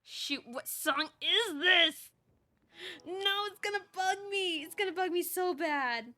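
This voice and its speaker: A woman livestreamer chatting and reading aloud casually, expressive and exclamatory, often interrupting herself. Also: very high voice, slow. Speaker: streamer reading fanfiction aloud.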